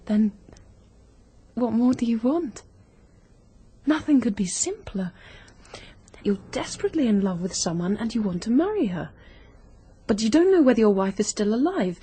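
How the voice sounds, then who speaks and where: Bold, high-voiced young British schemer. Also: female voice, darkish tone, breathy edge. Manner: brisk, frank, flippant. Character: bold, quick-witted young woman plotting an undercover ruse. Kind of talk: old-fashioned British radio drama acting. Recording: muffled vintage radio drama recording.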